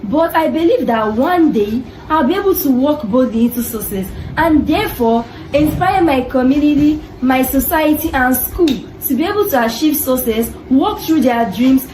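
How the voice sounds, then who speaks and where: A woman's voice: high voice, animated, normal speed, few pauses, a little mellow, a little hiss or hum. A young woman from Nigeria gives an earnest personal statement. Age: young adult. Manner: earnest recitation. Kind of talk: short recorded personal statement. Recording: echoey room.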